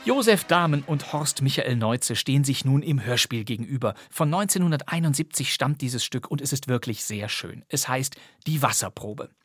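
Male host, medium pitch, scripted presenting in a dry studio sound. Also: expressive, moderate pace, few pauses, fairly bright tone, slightly rough, no echo, faint music behind. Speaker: podcast host. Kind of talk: scripted, presenter-style narration.

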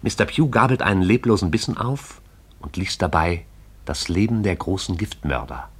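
An actor as the narrator of a poetic radio drama, telling it in a lyrical, wry storytelling manner. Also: male voice, low-pitched, expressive, normal speed, breathy edge, full character voice.

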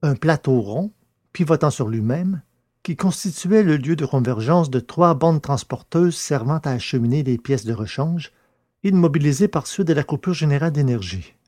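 A man reading scripted science fiction narration in a measured, literary way. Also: medium pitch, dark tone, dry recording, clean studio sound. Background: music.

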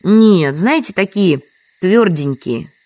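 An actress playing a meek, apologetic and quietly stubborn village hostess in an audio drama. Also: female voice, lowish voice, lively intonation, slow pace, no room sound. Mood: patient explaining. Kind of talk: Russian audio drama dialogue.

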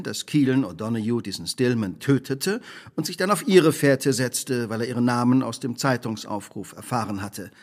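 An actor playing a shrewd, self-assured detective who speaks precisely and probingly in a radio play. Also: male voice, medium pitch, slightly rough. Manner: precise, probing, imperious deduction. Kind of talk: dramatic radio play dialogue.

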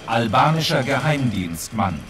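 Radio drama title announcer, drawn-out and melodic delivery with music behind. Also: male voice, mid-range voice, sing-song. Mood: deliberate.

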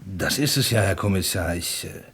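A man playing an uncertain army captain under questioning in a radio crime drama, husky and halting. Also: deep voice, lively intonation, fairly bright tone, heavily acted. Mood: hesitant, unsure. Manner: halting, unsure. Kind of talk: German radio play dialogue acting.

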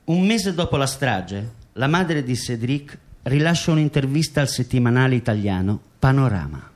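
A man's voice: medium pitch, animated, moderate pace, a little hiss or hum. A man reading scripted narration as a narrator in a documentary radio drama.

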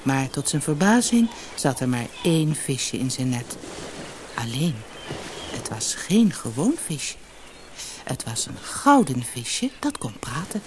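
A woman storyteller with a low voice narrates a children's fairy tale. Her delivery is measured and scripted, with lively, expressive rises and falls. A faint noise marks the old cassette recording. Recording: noisy old cassette audiobook.

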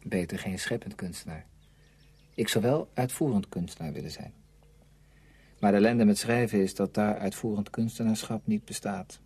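An actor playing a brooding, self-tormented novelist in a radio play, speaking in an introspective, deliberate way. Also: male voice, on the low side, a little mellow, slightly rough, no echo. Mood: earnest, bitter. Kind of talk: Dutch radio play acting.

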